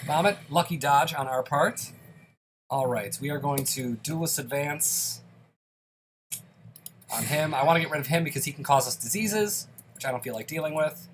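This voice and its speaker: Young man, gaming commentator, chatty play-by-play, slightly raised pitch, a little under the weather. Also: moderate pace, pauses often, slight background noise. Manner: chatty, self-deprecating play-by-play. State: slightly sick, occasional coughs. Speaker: gaming YouTuber.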